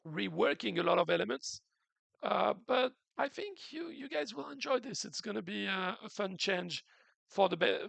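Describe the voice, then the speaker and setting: A man's voice: high-pitched, vocal fry, fairly bright tone, dry recording. A man hosting a game's community stream, explaining updates in an earnest, casual way with many restarts; his throat is a bit sore after the flu. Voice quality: unusual voice, in his words.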